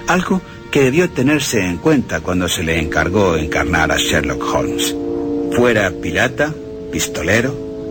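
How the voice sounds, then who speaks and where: Deep-voiced man narrating with wide intonation. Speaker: radio presenter. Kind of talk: scripted film-history narration with dramatic readings.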